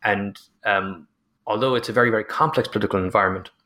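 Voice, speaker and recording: A man with an Irish accent answers interview questions in a bright, clear voice that sits a little low. He rambles, doubles back and fills pauses as he tells his story, with a self-deprecating touch. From Ireland, from Limerick. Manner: rambling, self-deprecating, many fillers and restarts. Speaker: guest author, history professor. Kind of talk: casual interview talk.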